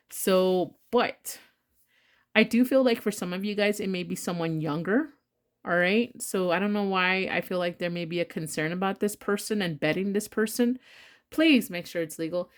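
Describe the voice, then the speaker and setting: A congested woman giving a casual tarot reading, talking bluntly with rambling asides and swearing freely. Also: mid-range voice. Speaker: tarot reader.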